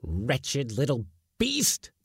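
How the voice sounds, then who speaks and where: A man's voice: fairly high pitch, sing-song, slow pace, a little mellow, no echo, clean studio sound, voice pushed up. A man voicing an irascible middle-aged male Latin schoolmaster who blusters and scolds in a raised, strained voice. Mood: furious.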